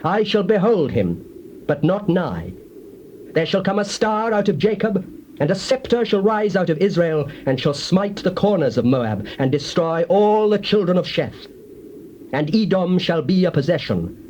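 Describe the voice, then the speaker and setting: An actor playing a solemn, defiant prophet in a dramatized audio Bible, declaiming grand oracles like incantations. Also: male voice, high voice, expressive, normal speed, darkish tone, lo-fi sound. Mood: solemn, prophetic. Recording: hissy old cassette tape.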